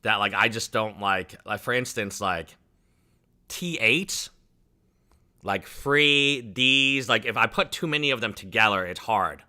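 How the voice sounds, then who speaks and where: A man's voice: on the low side, lively intonation, measured pace, pushed and bright. A man in his thirties whose first language is Chinese, hosting a casual reaction stream and rambling with many "like" fillers. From China. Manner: rambling, heavy use of "like" fillers. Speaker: livestream reaction host.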